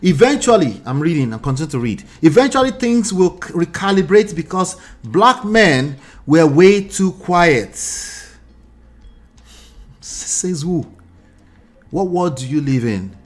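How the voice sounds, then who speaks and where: A man's voice: a little high, very animated, unhurried, soft background music. Nigerian man reacting emphatically to comments. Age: 40s. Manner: emphatic, repeats points, asks pointed rhetorical questions. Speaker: relationship commentary host.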